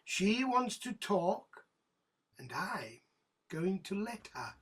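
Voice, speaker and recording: A woman playing a menacing, mocking police inspector in an audio drama, with a husky voice and taunting, mock-sweet delivery. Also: mid-range voice, sing-song, slow pace, very noisy. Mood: gloating, taunting.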